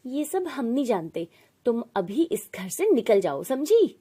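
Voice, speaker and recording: A woman's voice: on the high side, sing-song, a little slow, warm tone, slightly rough, heavily acted. A woman voicing a cruel, quarrelsome aunt in a family story, scornful, snapping and complaining. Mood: harsh, scornful. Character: cruel, quarrelsome aunt, sharp-tongued young wife.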